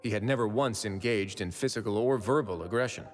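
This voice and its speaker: A man voicing a calm, confident prison administrator in a video game, with a dry, easy way of talking. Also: on the low side, fairly quick, lightly acted. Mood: explaining. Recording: game audio with long reverb and music.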